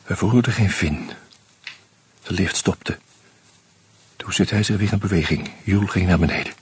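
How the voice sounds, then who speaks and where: A man reading a first-person novel aloud in a calm, measured, subdued way. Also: low voice, slightly rough. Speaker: narrator.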